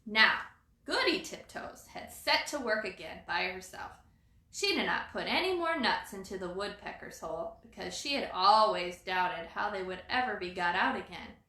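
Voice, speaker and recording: A woman reading a children's storybook aloud as storyteller, in an expressive, dramatizing manner. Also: mid-range voice, moderate pace. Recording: roomy echo.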